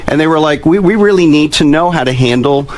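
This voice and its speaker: A man's voice: fairly high pitch, fairly quick, darkish tone. A man in his fifties explaining things casually as a guest expert on a talk radio show. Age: 50s. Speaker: radio guest, behavioural profiler and trainer.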